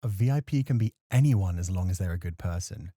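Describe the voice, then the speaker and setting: A man acting as a refined, scholarly club host in a flirty ASMR roleplay, speaking formally with playful, gentle chiding. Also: deep voice, animated, fairly quick, no room sound, studio quality. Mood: earnest.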